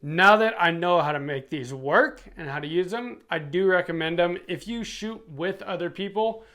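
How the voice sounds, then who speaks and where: Middle aged guy doing a casual gear review, kinda high voice, talking to his viewers. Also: moderate pace, a bit bright. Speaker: product reviewer and YouTube channel host.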